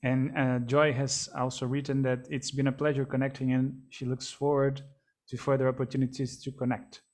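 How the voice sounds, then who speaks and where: Male moderator on a video call, courteous and unhurried, hesitant fillers. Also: mid-range voice, flat delivery, a little mellow. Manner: polite, measured, many fillers. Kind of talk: online panel moderation.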